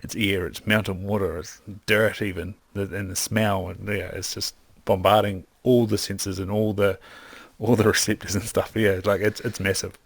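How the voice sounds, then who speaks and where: Deep-voiced enthusiastic kiwi man. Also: little pitch movement, normal speed, vocal fry, hardly any pauses, dry recording. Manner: enthusiastic, rambling with lots of "like" and restarts. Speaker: podcast guest, hunter and optometrist. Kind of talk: casual interview chat.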